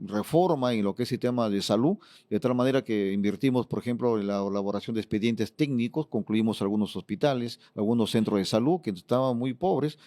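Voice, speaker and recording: A man's voice: medium pitch, dark tone. A middle-aged Peruvian man answering interview questions as a politician, explaining at length with frequent fillers. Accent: Peruvian Spanish. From Peru. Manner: explanatory, fond of "fíjate" and "eh" fillers. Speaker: politician, former regional governor.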